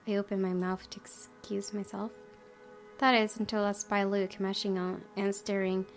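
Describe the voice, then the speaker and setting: A woman's voice: medium pitch, vocal fry. A woman hosting a gaming video, switching between casual commentary and reading the game text aloud. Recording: game music behind the voice.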